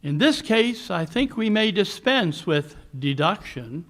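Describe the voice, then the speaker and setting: A man acts out a cool, superior male detective for a live radio play. His voice sits a little high. He speaks in formal, deliberate sentences with a dry, commanding air. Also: sing-song, fairly bright tone, heavily acted. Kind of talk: 1940s radio mystery acting, performed live.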